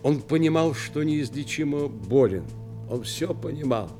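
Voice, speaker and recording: A man's voice: a little high, lively intonation, a little slow, warm tone. An actor playing an earnest man who speaks solemnly, in short emphatic phrases, in a radio play. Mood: solemn, grieving. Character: earnest man solemnly recalling his soldier father. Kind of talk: dramatic radio play monologue. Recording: radio play with music underneath.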